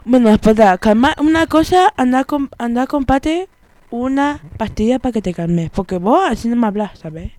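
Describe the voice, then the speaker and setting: A woman's voice: a little high, expressive, moderate pace, darkish tone, no room sound, lightly acted. A woman improvising as an evasive, boastful rich friend, casual and dismissive, in a drama exercise. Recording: noisy amateur recording with clicks.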